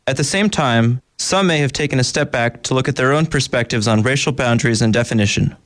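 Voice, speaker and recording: Guy hosting a youth radio show, reading his script, plain even voice. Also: mid-range voice, a little fast. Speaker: radio host. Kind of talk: scripted narration.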